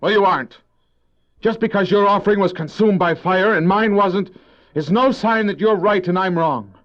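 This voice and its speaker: High-voiced proud, defiant Cain. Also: male voice, normal speed, a bit bright. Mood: angry. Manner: theatrical, argumentative, sarcastic. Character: Cain, proud, resentful, defiant farmer and elder brother. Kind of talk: religious audio drama acting. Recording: dull old cassette audio drama.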